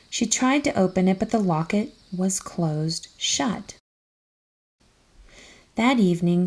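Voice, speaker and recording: A woman narrating a children's storybook aloud in an expressive, careful storytelling manner. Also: medium pitch.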